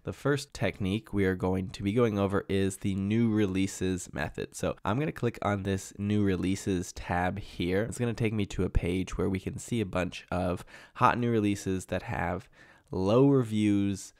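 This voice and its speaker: A man's voice: deep voice, a little slow, dry recording, clean studio sound. A man in his thirties with a nasal voice from a cold, walking through a screen-share tutorial as an online selling coach. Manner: steady, explanatory walkthrough. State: getting over a cold. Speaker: e-commerce coach.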